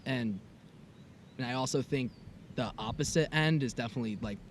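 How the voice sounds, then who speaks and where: A man's voice: medium pitch, normal speed. A young man with a mid-range voice chats casually, acting out his own past thoughts with lots of "like." A faint hiss of outdoor noise sits under him. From United States. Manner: animated storytelling, lots of "like" and "I was like". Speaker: podcast co-host. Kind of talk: casual conversation. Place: outdoors in the mountains.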